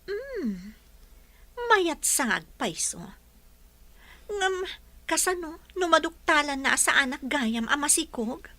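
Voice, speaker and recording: A woman's voice: sits high, very animated, slow pace, long pauses, pushed and bright, no room sound, full character voice. A woman playing a scheming young woman in an Ilocano radio drama, speaking with an Ilocano accent. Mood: scheming, eager. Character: scheming young woman claiming pregnancy to trap her boyfriend.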